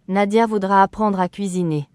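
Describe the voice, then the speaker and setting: A voice reading short phrases slowly and evenly for learners, carefully enunciated and repeated. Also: medium pitch, steady tone, a little mellow, clean tone, no room sound. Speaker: French phrase reader.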